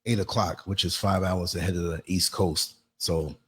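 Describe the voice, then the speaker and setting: Husky-voiced man in his late fifties. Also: low-pitched, fairly even intonation, normal speed. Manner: emphatic, repeats phrases for stress. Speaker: livestream host and podcaster. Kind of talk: informal motivational monologue to livestream chat.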